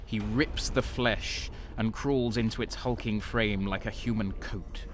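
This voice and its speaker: An actor playing a haunted, horror-struck soldier who narrates his own nightmare in an intense, halting, theatrical audio drama style. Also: on the low side, fairly even intonation, natural voice. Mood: gripped horror.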